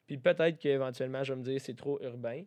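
A young man speaks with a French Canadian accent at an ordinary male pitch. He chats casually as a podcast co-host, in an informal way sprinkled with English slang. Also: quick pace, a little mellow. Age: young adult.

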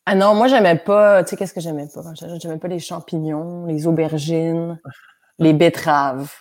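Chatty Québécois woman, lowish voice. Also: fairly even intonation, normal speed, a little mellow. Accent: Québécois French. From Quebec. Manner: laughs often, chatty with many restarts. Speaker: guest pianist. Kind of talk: casual interview chat.

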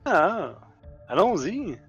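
A man's voice: high voice, very animated, extremely slow, highs cut off, soft background music. A man playing his character in a tabletop game, boastful and playful, often stammering and restarting. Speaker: player.

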